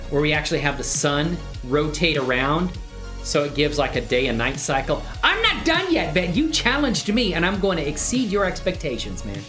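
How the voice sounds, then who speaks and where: Female live-stream tutorial host, low-pitched voice, informal and upbeat, buddy-like with the chat, soft music underneath. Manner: upbeat, addresses viewers as man and bro. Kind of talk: casual live tutorial chat, thinking aloud.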